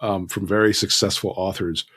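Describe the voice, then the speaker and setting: A man's voice: sits low, steady tone, moderate pace, fairly bright tone, breathy edge. A man talking casually as an interview guest, telling stories in a self-deprecating way with acted-out asides. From Southern California. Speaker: guest author.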